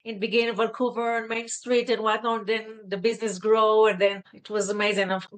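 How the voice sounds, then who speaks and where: A middle-aged woman from Israel with an Israeli accent, talking earnestly and haltingly as a guest, often tagging "right?". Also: medium pitch, flat delivery. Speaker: guest, fashion stylist. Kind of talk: casual interview chat.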